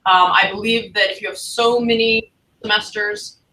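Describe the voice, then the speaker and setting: A woman's voice: medium pitch, steady tone, normal speed, pushed and bright, distant mic, a little hiss or hum, poor audio. A woman academic advisor talking casually to new students on a livestream, chatty and encouraging. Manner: chatty, encouraging, loose phrasing.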